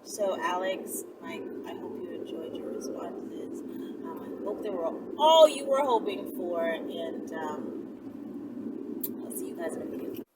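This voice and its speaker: A woman's voice: on the low side, expressive, measured pace. A woman chatting casually to her viewers as the host of her own channel. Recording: distant mic, loud ambient vehicle noise.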